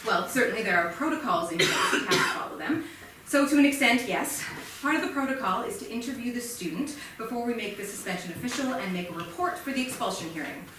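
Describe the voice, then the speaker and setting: A woman in a staged play reading playing a stern, formal high school principal with a measured, admonishing, officious manner. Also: expressive, a bit bright. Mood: formal, cool. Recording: echoey theatre, distant mic, faint noise.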